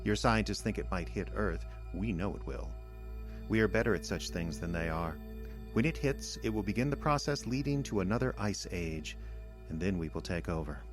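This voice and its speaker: A man performs a cold alien villain for an audio drama. The voice sits low and moves with patient, measured condescension, lecturing like a superior being who holds humans in contempt. Faint music runs underneath. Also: lively intonation. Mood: smug, ominous.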